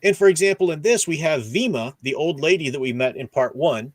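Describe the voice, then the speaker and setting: A man's voice: on the high side, expressive, a little fast, no room sound. A man hosting a casual discussion stream, explaining at length and often dropping in "you know" as he goes. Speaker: host of a book discussion stream. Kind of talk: casual livestream discussion of comics and radio dramas.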